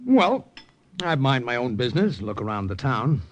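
A man's voice: medium pitch, sing-song, normal speed, lightly acted. An actor playing an American spy who narrates his wartime mission in a plain, matter-of-fact American voice. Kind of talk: 1940s radio drama narration.

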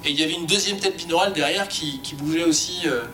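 Male panelist, slightly high voice, informal and halting technical explainer, faint rumble behind. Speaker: sound fiction creator on an expert panel. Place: panel discussion before an audience. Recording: faint rumbling background noise.

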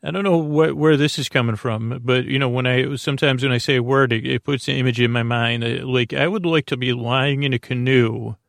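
A man hosting a sleep podcast in a meandering, halting, gently silly monologue that keeps trailing off and circling back. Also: medium pitch, fairly even intonation, gravelly, dry recording, clean studio sound. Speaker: podcast host.

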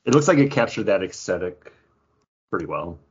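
Male co-host, husky and fairly low, relaxed conversational chat, dull lo-fi sound. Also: fairly quick. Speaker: podcast co-host. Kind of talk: casual pop-culture chat. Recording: dull lo-fi podcast audio.

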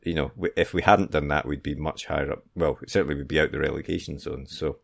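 A man co-hosting a football podcast in casual chat, with a hesitant, halting delivery. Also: extremely low pitch, fairly even intonation, vocal fry.

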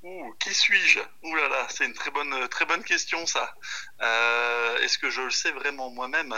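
Very bright-voiced man, hesitant and informal. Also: mid-range voice, measured pace. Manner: hesitant, informal, thinking aloud. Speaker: chef, podcast guest. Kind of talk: casual chat over the phone. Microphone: phone call.

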